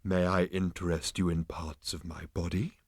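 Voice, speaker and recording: A man's voice: low voice, level tone, breathy edge, no echo, studio quality. A man voicing a placid talking cow-like dish. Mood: placid courteous. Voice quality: slow, soft, courteous mooing tone. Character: polite bovine dish-of-the-day creature, placid and eager.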